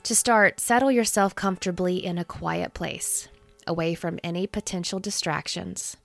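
Low-pitched, creaky-voiced woman guiding meditation. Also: fairly even intonation, no room sound, soft background music. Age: 30s. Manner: soothing, gentle, unhurried instruction. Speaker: meditation guide. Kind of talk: guided meditation.

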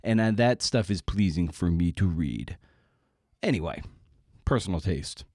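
A man's voice: sits low, expressive, measured pace, darkish tone, dry recording, studio quality. A man hosting his podcast, chatting casually and wryly through plugs and the episode intro with self-deprecating asides. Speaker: podcast host.

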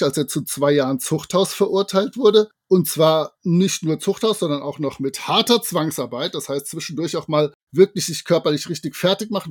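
A man's voice: fairly high pitch, darkish tone, no echo, studio quality. A man co-hosting a podcast, talking in a wordy, jocular way with colloquial asides. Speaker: podcast co-host. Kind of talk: chatty podcast narration.

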